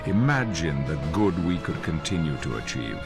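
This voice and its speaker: Deep wise professor, hopeful, earnest, expressive, music behind. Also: male voice, moderate pace, full character voice. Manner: earnest, stately. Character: idealistic, dignified professor and hopeful mentor. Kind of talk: video game cutscene acting.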